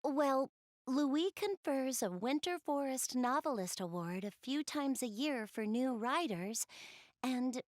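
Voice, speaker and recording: A woman voicing a shy, easily flustered young goddess in an anime video game, speaking softly and hesitantly to the player. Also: high-pitched, expressive, no echo, studio quality. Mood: shy, hopeful. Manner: hesitant, bashful, halting.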